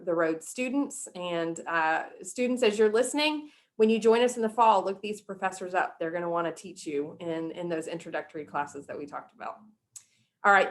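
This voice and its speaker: A woman's voice: fairly low pitch, normal speed. A woman hosting an online college event, speaking upbeat to prospective students. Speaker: event host.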